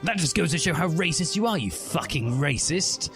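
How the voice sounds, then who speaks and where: A man's voice: fairly high pitch, animated, normal speed, pitched above the performer's own voice. A man voicing a self-important, indignant teenage boy revolutionary in a comic story reading. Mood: outraged. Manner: indignant, bombastic. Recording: music behind the voice.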